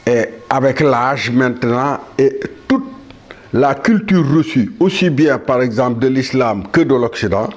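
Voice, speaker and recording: Slow, measured older Senegalese-born man. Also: medium pitch, animated. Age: 70s. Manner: measured, halting, with pauses and restarts. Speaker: speaker addressing the world. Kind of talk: slow solemn monologue.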